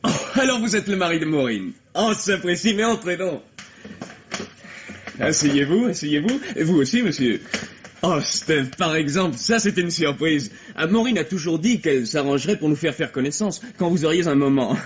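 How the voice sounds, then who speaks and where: Nervous, husky-voiced struggling playwright. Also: male voice, on the high side, lively intonation, normal speed. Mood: effusive welcome. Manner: stammering, hesitant, effusive. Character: nervous, eager, self-absorbed struggling young male playwright. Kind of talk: vintage French radio mystery acting. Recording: dull, lo-fi old radio recording.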